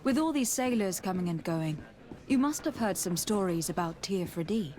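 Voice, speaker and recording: An actress playing a composed young noblewoman investigator in a fantasy game, questioning in a polite, measured, formal way. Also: medium pitch, animated, darkish tone, lightly acted. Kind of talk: video game dialogue acting.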